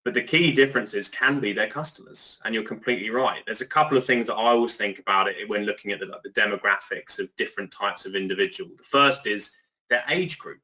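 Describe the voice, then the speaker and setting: A man with an English accent, talking through a business topic in a loose, chatty way, full of fillers and restarts. His voice is bright and forward, pitched a little low, and comes through a video call. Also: fast talker, poor audio. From England. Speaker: webinar guest speaker. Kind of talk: conversational webinar discussion.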